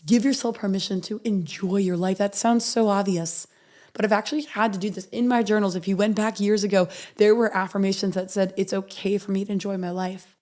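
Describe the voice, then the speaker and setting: A woman hosting a motivational podcast, her voice raspy and hoarse as she recovers from being sick. She talks earnestly and reads affirmations softly and slowly. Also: mid-range voice, steady tone. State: hoarse from recovering after illness. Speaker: podcast host.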